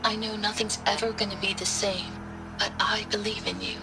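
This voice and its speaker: A woman's voice: medium pitch, little pitch movement, normal speed, vocal fry, over music, lightly acted, low-quality recording. An actress playing an anxious, loving woman recording an intimate, plaintive message to her soldier partner. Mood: proud, tender. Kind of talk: dramatic voice-message monologue.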